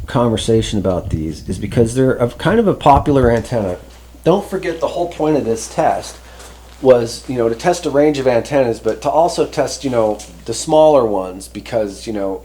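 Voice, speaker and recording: A man presenting a product review in casual, unscripted talk, rambling conversationally and often pausing to rephrase himself. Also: medium pitch, mellow. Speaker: host reviewing CB radio antennas.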